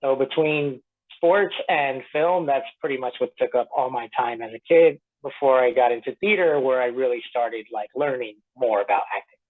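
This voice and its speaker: Male guest heard through a thin phone line. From Florida, medium pitch, casual conversational interview delivery. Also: moderate pace. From Tampa Bay, Florida, United States; born in Puerto Rico. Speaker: actor being interviewed. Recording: tinny narrow-band phone line.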